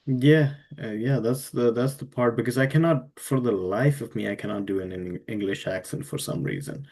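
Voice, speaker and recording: A man hosting a casual interview, relaxed and hesitant, with many fillers. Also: medium pitch, normal speed. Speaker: podcast host.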